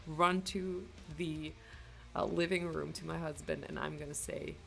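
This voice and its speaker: A woman's voice: sits low, fairly even intonation, measured pace, vocal fry, soft background music. A woman from Finland with a Finland-Swedish accent and Swedish as her first language, gushing with enthusiasm as she hosts casual reaction commentary. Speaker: reaction video host.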